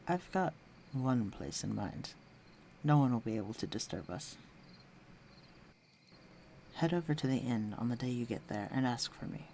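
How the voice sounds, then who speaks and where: Guy acting a cocky flirty villain, audio roleplay, gravelly voice, teasing and unhurried, protective, bit of background noise with crickets. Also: mid-range voice, lively intonation. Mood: coaxing, warm. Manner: teasing, self-assured, unhurried, dropping into hushed near-whispers. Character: flirtatious, confident fantasy villain, secretly protective of his enemy. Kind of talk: intimate roleplay audio drama addressed to the listener. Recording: faint noise, night crickets and insects. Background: night ambience with crickets.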